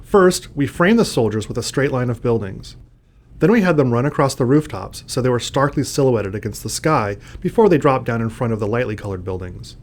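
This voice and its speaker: A man reads out prepared developer commentary. His pitch is in the middle range, and he explains game design as one of its makers. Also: lively intonation, a little fast.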